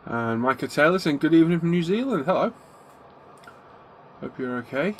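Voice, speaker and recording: A man's voice: on the high side, animated, normal speed, a little hiss or hum. A middle-aged man, hosting a casual live stream from his hobby room. He sounds bunged up from a cold and greets his viewers by name as he chats. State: congested, getting a cold.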